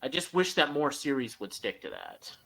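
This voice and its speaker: A man's voice: mid-range voice, huge pitch swings, moderate pace, gravelly, pushed and bright, husky. A man co-hosting a podcast, giving an enthusiastic, opinionated fan review in a casual discussion.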